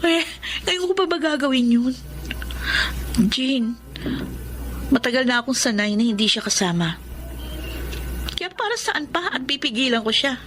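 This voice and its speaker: A woman's voice: sits high, sing-song, measured pace, long pauses, fairly bright tone, heavily acted. A Filipino woman acting in a radio drama as a hurt, stubborn young woman who sounds resigned.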